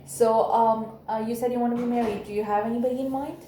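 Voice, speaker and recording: A woman counselor asks tentative, probing questions in a warm, mellow voice. The room around her is echoey, with a faint hiss behind. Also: on the high side, steady tone, fast talker. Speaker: counselor in a role-play. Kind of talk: counseling session.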